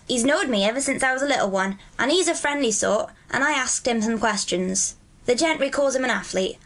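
A woman's voice: a little high, a bit bright, voice pushed up. A woman voicing a cheerful, friendly Yorkshire country boy who speaks in broad rustic dialect.

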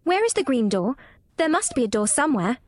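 Woman playing a high-voiced, curious little girl. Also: sing-song, fairly quick, no room sound. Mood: insistent, curious. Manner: direct, eager questioning.

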